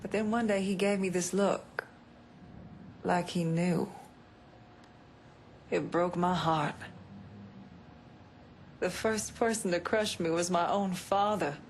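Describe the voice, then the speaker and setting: A woman's voice: on the low side, steady tone, takes it slowly, long pauses, a little hiss or hum. An actress playing a tough, guarded, wounded woman in a video game, frank and sardonic. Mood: wounded, bitter. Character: bitter, guarded, tough gangster's daughter.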